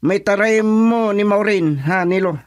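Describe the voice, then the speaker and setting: A man's voice: high voice, unhurried. A man playing an angry, protective father in a radio drama, scolding with a Filipino accent. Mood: threatening. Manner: scolding, confrontational. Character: angry, protective father confronting his daughter's suitor. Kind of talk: Ilocano radio drama acting.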